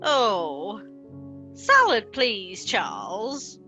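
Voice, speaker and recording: A muddled, demanding elderly mother in a comic radio play. Her voice is bright and swoops extravagantly, and she drawls very slowly. She is querulous and hard of hearing, recorded dry with music underneath. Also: mid-range voice, very animated, lo-fi sound, heavily acted. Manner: querulous, mishearing.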